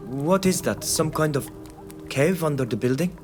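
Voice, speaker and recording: A man's voice: on the high side, expressive, moderate pace, close to an everyday voice. A man playing a resolute, curious young islander villager in a video game, speaking plainly and earnestly. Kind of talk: video game dialogue acting. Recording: music playing under the voice.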